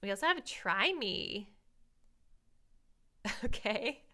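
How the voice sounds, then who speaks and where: A young woman reading tarot cards for her viewers in a chatty, thinking-aloud way, her voice a little raspy. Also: mid-range voice, expressive, slow, sharp tone. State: slightly raspy voice. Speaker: tarot reader.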